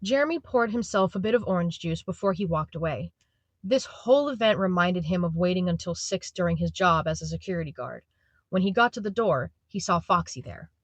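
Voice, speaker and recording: Audio drama narrator: woman, slightly low voice, very dry recording, scripted storytelling. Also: expressive, brisk, dry recording.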